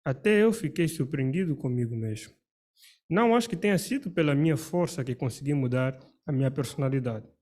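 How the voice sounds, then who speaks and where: A man reading a religious study text aloud to a congregation in a measured, formal way. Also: medium pitch, expressive, mellow. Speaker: paragraph reader.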